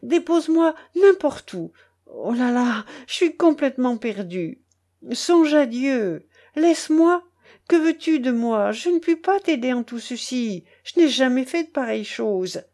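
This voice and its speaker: A woman's voice: a little high, a little mellow. A woman as a terrified, pleading young peasant man. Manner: pleading, stammering, lamenting. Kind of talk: dramatic audiobook character voice.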